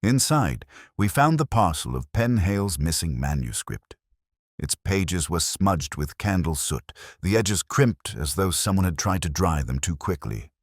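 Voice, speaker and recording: An actor playing a weary, reflective police inspector, narrating a mystery in a measured, literary, brooding manner. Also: male voice, sits low, very animated, breathy edge, dry recording, studio quality, heavily acted. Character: weary, reflective male police inspector recounting his case. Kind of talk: scripted first-person mystery narration.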